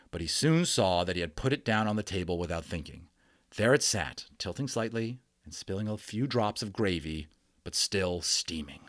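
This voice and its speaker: A man reading a children's novel aloud in an expressive, theatrical storytelling style. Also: low voice, dry recording, clean studio sound.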